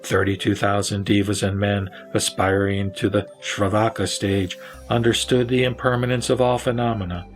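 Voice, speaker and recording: A man's voice: fairly low pitch, level tone, moderate pace, hardly any pauses, soft background music. A man reciting scripted Buddhist scripture as narrator, solemn and formal in manner.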